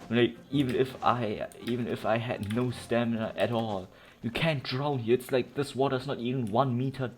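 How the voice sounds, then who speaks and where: A man's voice: medium pitch, fairly even intonation, moderate pace, hardly any pauses. A man giving casual running commentary on a game he plays, rambling and thinking aloud in an incredulous way. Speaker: gamer doing a playthrough. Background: game music.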